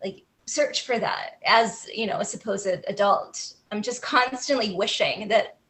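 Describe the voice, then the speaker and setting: A woman guest on an interview, answering in a thoughtful, wry, soft-spoken way. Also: mid-range voice, unhurried, rough recording. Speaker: guest author.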